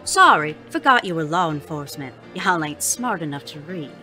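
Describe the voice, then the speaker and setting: An actress playing a tough, foul-mouthed Wild West saloon madam in an audio drama, blunt and dry with a Western American drawl. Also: female voice, low-pitched, sing-song, moderate pace, bright, forceful tone, soft background music, exaggerated voice. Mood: scornful, dry.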